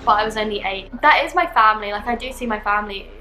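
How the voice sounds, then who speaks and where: A woman's voice: medium pitch, fast talker, bright, forceful tone, roomy sound. A 20-year-old woman from London with a southern British English accent, chatting casually and candidly to camera as a vlogger. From London, England.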